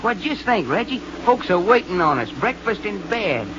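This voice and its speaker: A man's voice: high-pitched, big pitch swings, normal speed. An actor playing a boastful, girl-crazy, folksy adventurer with a Southern American drawl in an old-time radio serial. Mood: excited. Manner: folksy drawl with colloquial slang. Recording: muffled, noisy old-time radio recording.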